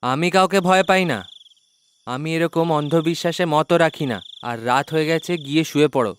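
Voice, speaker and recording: A man's voice: on the high side, normal speed, clear, resonant tone, natural voice. A man voicing an arrogant but cowardly village husband in a cartoon story, blustering and scolding. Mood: haughty. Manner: blustering, scolding, pleading when scared. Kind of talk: children's moral-story cartoon acting.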